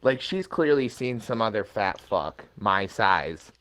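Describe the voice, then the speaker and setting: A young man with an American accent and a fairly low voice chats casually with friends. He tells stories informally and swears freely, like a co-host on a podcast. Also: very animated, measured pace, a bit bright. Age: young adult. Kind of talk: casual chat among friends.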